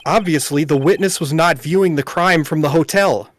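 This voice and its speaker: A man's voice: fairly high pitch, moderate pace, lightly acted. A man voicing an earnest, confident young defense lawyer with emphatic delivery, as in an amateur game dub.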